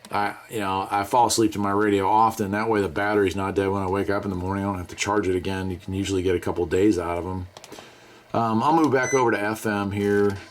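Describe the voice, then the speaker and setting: A man's voice: on the low side, a little hiss or hum. An American man talking casually and conversationally, thinking aloud as he gives a hands-on gadget review.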